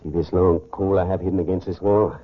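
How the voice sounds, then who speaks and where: An actor playing a wily, boastful convict and fugitive killer in a 1940s radio crime drama. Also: male voice, low voice, steady tone, fast talker. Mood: sly, confident. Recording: muffled 1940s radio broadcast recording.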